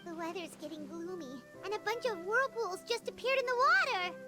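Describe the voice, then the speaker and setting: Tiny squeaky game fairy sidekick, super high and swoopy, easily scared, music behind. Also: female voice, expressive, a little fast. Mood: alarmed. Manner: speaks of self in third person, exclamatory. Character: small childlike floating fairy companion, excitable and easily scared. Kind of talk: video game character acting.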